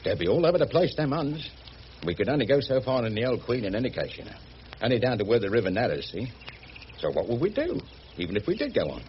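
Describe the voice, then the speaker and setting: An actor playing a cheeky, easygoing working-class English mechanic with a Cockney accent, chatty and deferential, in a radio drama. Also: male voice, medium pitch, big pitch swings, fast, natural voice. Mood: easygoing, reasoning. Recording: muffled, noisy old radio drama recording.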